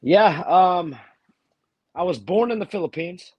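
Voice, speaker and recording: A fighter from Texas, talking casually as an interview guest while nursing a cold, with many fillers and restarts. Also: male voice, sits high, measured pace, slightly rough, dry recording, lo-fi sound. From Texas, USA. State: has a cold. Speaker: bare-knuckle fighter, guest.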